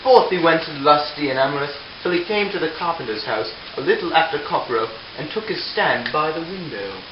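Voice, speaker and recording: A man's voice: a little high, sing-song, fairly quick, background noise. A man reading a scripted storybook narration for a puppet show. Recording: echoey, dull lo-fi room with music. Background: music.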